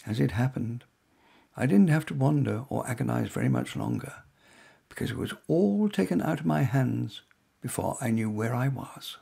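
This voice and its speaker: A man's voice: mid-range voice, expressive, normal speed, vocal fry, warm tone, breathy edge. A man reading his own short story in the first person, wry, hesitant and self-deprecating.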